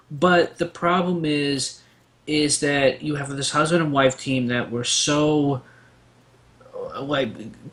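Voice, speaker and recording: Slightly high-voiced man rambling through trivia. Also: fairly bright tone. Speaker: debate show panelist. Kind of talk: casual pop-culture debate, trivia-sharing.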